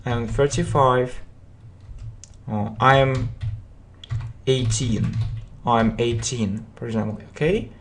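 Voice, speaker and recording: Very slow, deliberate English teacher. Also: mid-range voice, steady tone, slight background noise. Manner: repeats each phrase slowly for practice; breaks phrases into separate words. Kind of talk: slow drilling language lesson.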